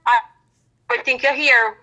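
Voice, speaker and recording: A woman's voice: a little high, steady tone, unhurried, pushed and bright. A woman with a Jamaican accent chatting casually over a phone call.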